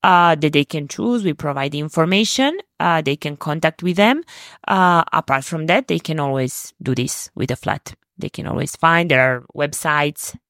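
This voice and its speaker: A young woman with a Spanish accent answers questions about her university in a relaxed interview. She hesitates often, filling gaps with "uh" and "um" as she reaches for words in her second language. Her voice sits a little low, and the sound is dry and close. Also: moderate pace, no room sound. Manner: hesitant, many fillers, non-native phrasing. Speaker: university representative.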